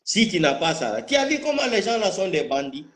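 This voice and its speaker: A man's voice: sits high, very animated, brisk, a bit bright, slight background noise. A man with a Guinean French accent speaking off the cuff in live political talk, outspoken and combative, repeating phrases for emphasis. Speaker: political activist commentator.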